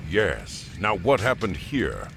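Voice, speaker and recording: Blunt warrior, lively intonation. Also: male voice, sits low, slow pace, vocal fry, noisy background, heavily acted. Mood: impatient. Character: blunt, hardy Greek warrior. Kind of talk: dramatic game cutscene acting.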